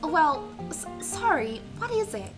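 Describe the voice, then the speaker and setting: A woman voicing a polite mother in a visual novel game, gently correcting her child and a bit hesitant. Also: sits high, big pitch swings, slow pace, full character voice. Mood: gently chiding. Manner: hesitant, proper, gently chiding. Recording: loud music over the voice.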